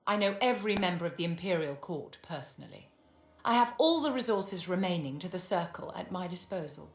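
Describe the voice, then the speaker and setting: In a reverberant hall with music underneath, an aristocratic, shrewd woman mage for a video game. Her voice sits fairly low for a woman, and she speaks with measured, haughty courtesy. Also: lively intonation. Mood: poised, assured.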